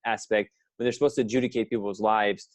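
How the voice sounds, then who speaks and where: An interview host speaking at a brisk clip with a mid-range voice. The questions come quickly, searching, with words sometimes restarted partway. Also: mid-range voice, steady tone.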